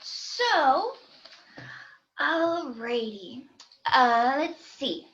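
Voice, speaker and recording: A woman's voice: high-pitched, big pitch swings, drawn-out words, a bit bright, highs cut off. A woman hosting a casual podcast lesson over a video call, bubbly and laughing often. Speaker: host and English teacher.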